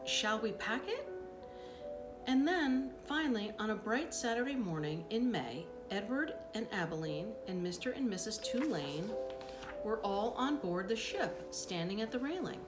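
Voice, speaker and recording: A woman reading a children's book aloud as an expressive storyteller, with dramatic pauses and brief asides. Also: medium pitch, music in the background. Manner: animated, dramatic pauses, acts out dialogue.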